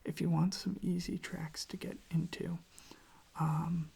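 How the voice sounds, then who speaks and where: A soft-spoken ASMR host rambling casually in a gentle, hesitant, self-deprecating way, with a breathy, husky, whispery voice close to the microphone. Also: mid-range voice, flat delivery, a little slow. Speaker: host of a relaxation channel showing records.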